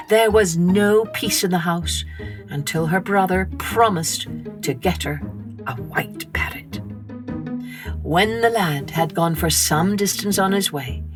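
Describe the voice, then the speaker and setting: A woman narrating a scripted children's story in an expressive storytelling manner. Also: lowish voice. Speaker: storytelling podcast host and narrator.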